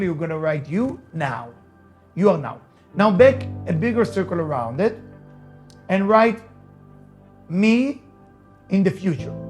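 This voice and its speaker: A man with an Israeli accent, a native Hebrew speaker, giving an emphatic motivational lecture, repeating key phrases and asking rhetorical questions. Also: high voice. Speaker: rabbi, motivational speaker and teacher.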